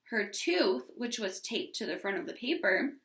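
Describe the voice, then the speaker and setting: A woman's voice: medium pitch, animated, moderate pace, a bit bright. A woman teacher reading a children's storybook aloud and talking to her class. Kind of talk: storybook narration. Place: indoors at home. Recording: echoey room.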